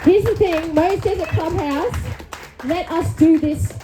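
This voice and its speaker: A woman's voice: very high voice, measured pace, noisy background. A woman hosting a live comedy show from a club stage on a handheld mic, chatty and upbeat, often tagging lines with "okay?". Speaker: host. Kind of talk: upbeat live emcee patter.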